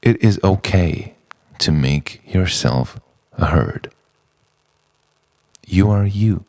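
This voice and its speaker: A man performs introspective poetry in a close, dry recording. His delivery is dramatic and deliberate, with a widely moving pitch. He phrases his lines as a spoken-word monologue, leaving space between them. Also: lively intonation, a little mellow, no room sound. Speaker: poet.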